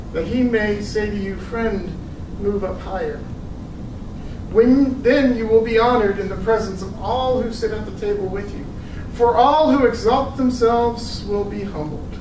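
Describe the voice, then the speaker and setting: A man giving a casual sermon, telling a story and reading scripture, with halting restarts and teasing asides to his listeners. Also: sits high. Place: church room with a live audience. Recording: echoey room from a distant mic with noise.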